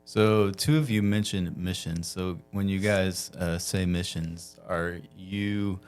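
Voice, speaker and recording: Low-voiced man hosting a podcast. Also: steady tone, slow pace, darkish tone, smooth, faint music behind. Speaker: podcast host. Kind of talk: casual interview.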